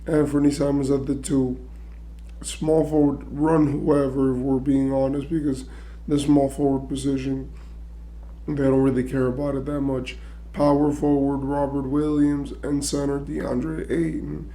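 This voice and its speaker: A man with a strained voice he says he is losing, clearing his throat now and then as he talks through a basketball trade in loose, chatty commentary full of "right?" and "you know". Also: mid-range voice, little pitch movement, measured pace, warm tone, poor audio. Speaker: sports commentary YouTuber.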